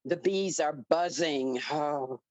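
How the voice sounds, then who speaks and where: A woman's voice: sits low, slow pace, darkish tone, dry recording. A woman reading the part of an impatient fighter in her forties in a dramatic script reading. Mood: impatient, curt. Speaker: actor in a live script reading. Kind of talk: dramatic script table read.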